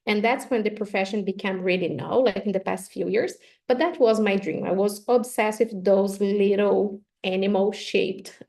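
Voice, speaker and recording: Brazilian accent lady giving a chill webinar talk, kinda gravelly, says "like" a lot. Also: mid-range voice, darkish tone. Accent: Brazilian Portuguese. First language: Portuguese. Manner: chatty and friendly, many fillers like "so" and "like". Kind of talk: informal academic presentation. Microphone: video call.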